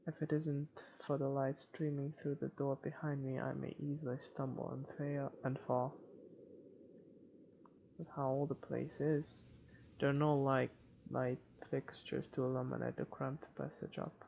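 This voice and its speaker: Man with gravelly fry reading game text. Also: medium pitch, little pitch movement, a little slow, a little mellow. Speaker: video game let's player. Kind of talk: reading visual novel text aloud with off-the-cuff comments. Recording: dull lo-fi home recording, faint noise.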